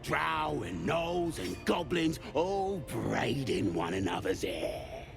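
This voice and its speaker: A man's voice: mid-range voice, sing-song, slow pace, heavily acted. A man voicing a surly, scornful goblin zealot in a video game, with a British accent and coarse, sneering grumbles. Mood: bitter, grumbling. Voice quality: gravelly. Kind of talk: video game character acting. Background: music.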